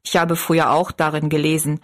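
A woman's voice: deep voice, level tone, a little fast, close to an everyday voice. A woman voicing a defensive, self-satisfied older married woman in an audiobook story, evasive and self-justifying.

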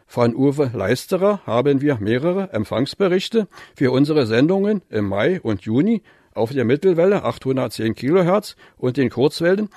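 Male mailbag host for radio, mid-pitched, formal scripted read, close dry sound. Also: darkish tone, no room sound. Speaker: radio listener-mail presenter. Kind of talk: scripted reading of listener mail.

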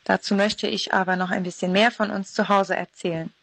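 A woman's voice: medium pitch, steady tone, brisk, creaky, fairly bright tone, dry recording. A woman in her thirties giving a short personal devotional talk as a guest contributor. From Philadelphia (Mennonite colony).

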